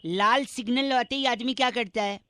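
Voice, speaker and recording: A man's voice: extremely high pitch, fairly even intonation, moderate pace, sharp tone, dry recording. A man voicing a cheeky, pestering young prankster, teasing people on a radio prank call with mock politeness. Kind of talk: comic prank call banter with riddles.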